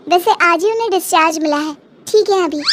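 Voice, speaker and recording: A woman's voice: extremely high pitch, a little fast. A woman voicing a polite, dutiful young daughter-in-law in a Hindi cartoon, earnest and respectful. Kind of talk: Hindi cartoon moral story acting.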